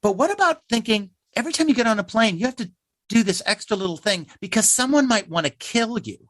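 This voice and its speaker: Animated higher-pitched man, wry and emphatic. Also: fast, dry recording, clean studio sound. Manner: emphatic, wry, acts out imagined quotes. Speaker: theater company playwright being interviewed. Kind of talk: animated conversational interview answers.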